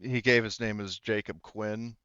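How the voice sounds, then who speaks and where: Casting: male game master, low smooth voice, rambling improvised narration, slightly muffled recording. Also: steady tone, normal speed, pushed and bright, no echo. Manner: rambling, relaying characters' words in reported speech. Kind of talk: improvised tabletop roleplay narration.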